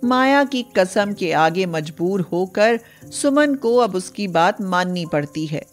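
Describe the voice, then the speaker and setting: A woman narrates a scripted moral tale for a cartoon. She goes at a slow pace in a mid-pitched voice. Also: expressive, faint music behind. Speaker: story narrator.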